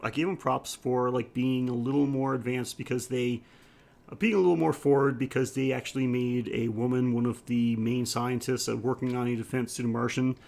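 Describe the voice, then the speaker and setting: A man's voice: mid-range voice, fairly even intonation, fairly quick, creaky, darkish tone. A man co-hosting a podcast, chatting casually through a film review and laughing easily as he talks. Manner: laughs easily while talking. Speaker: podcast host.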